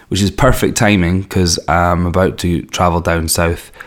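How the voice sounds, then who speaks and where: A man with a slightly raspy, worn throat hosts a podcast in a casual, rambling way with wry, self-mocking asides. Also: deep voice, fairly even intonation, moderate pace. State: strained throat, losing his voice. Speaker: podcast host and record label owner. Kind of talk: casual solo podcast introduction.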